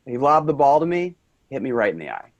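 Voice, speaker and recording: A man's voice: mid-range voice, sing-song, brisk, dry recording, lo-fi sound. A man playing an earnest, rambling office worker, tipsy and brooding, in a staged play reading. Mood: wistful, tipsy.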